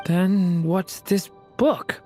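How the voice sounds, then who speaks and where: An actress playing a gentle, earnest, innocent young boy in a video game, hesitant and politely questioning. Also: fairly low pitch, extremely slow, soft background music. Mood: unsure, earnest. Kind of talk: English video game voice acting.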